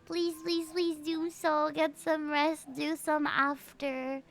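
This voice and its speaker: A woman's voice: sits high, little pitch movement, slow pace. A woman chatting casually as a livestream host, bubbly and effusive with her viewers. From Philippines. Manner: bubbly, effusive, often repeats greetings and thanks. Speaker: VTuber game streamer and host. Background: music.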